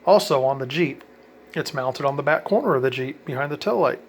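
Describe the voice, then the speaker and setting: A man's voice: medium pitch, animated, brisk, a little hiss or hum. Rambling man explaining radio gear. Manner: conversational, many ums, self-correcting. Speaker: hobby radio vlogger. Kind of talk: casual how-to rambling.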